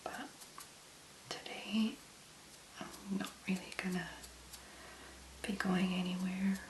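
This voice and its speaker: A woman speaks in a breathy, hushed near-whisper, as an ASMR host would. Her delivery is slow and very level, laid out in short murmured phrases. The sound is roomy, with a noticeable echo around her voice. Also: medium pitch, little pitch movement, slight background noise. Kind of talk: soft-spoken ASMR make-up tutorial.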